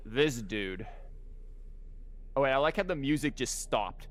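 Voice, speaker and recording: A man's voice: mid-range voice, animated, slow pace, a bit bright. Man casually streaming over game music. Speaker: game livestreamer. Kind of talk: casual gaming commentary.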